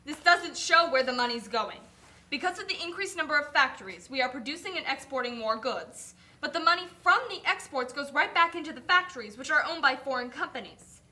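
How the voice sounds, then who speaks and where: A struggling, indignant mother acted by a woman speaks out in a puppet show. Her voice is high and bright, with an outspoken manner. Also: expressive, fairly quick, exaggerated voice. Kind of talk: scripted puppet show dialogue.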